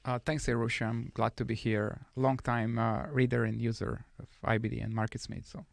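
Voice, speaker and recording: A man in his thirties, originally from Bulgaria, speaking as a guest trader in a studio podcast interview with a soft, measured manner. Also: mid-range voice, level tone, gravelly, no echo.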